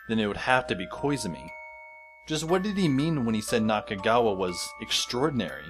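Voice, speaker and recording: A man playing a wry, weary teenage schoolboy who narrates an audio drama in a dry, deadpan way. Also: medium pitch, lightly acted. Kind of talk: scripted first-person audio drama narration.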